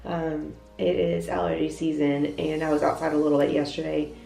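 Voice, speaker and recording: A woman's voice: low voice, little pitch movement, moderate pace, creaky, warm tone. A young woman with a congested, stuffy voice, chatting casually through a makeup tutorial and explaining each step in a self-deprecating way. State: congested, voice lower than usual. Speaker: beauty vlogger. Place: hotel bathroom. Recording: echoey bathroom from off-mic, faint music.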